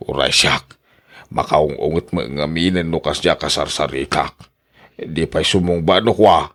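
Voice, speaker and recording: An actor with a Filipino accent playing a blunt, goading village pal in a radio drama. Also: male voice, extremely low pitch, a little slow, a bit bright, exaggerated voice. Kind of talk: Ilocano radio drama acting.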